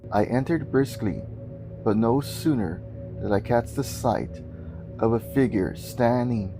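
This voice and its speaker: A man reading a Victorian ghost story aloud as scripted narration, deliberate and theatrical. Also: lowish voice, poor audio. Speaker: guest story reader.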